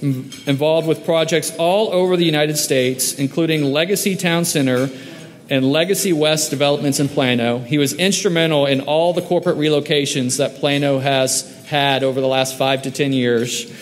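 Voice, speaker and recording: Upbeat mayor in an echoey hall. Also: male voice, fairly high pitch. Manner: friendly, upbeat, filler-heavy delivery. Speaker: mayor hosting a round table. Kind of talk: introducing a guest at a lunch event. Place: large hall at a lunch event. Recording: echoey hall with faint noise.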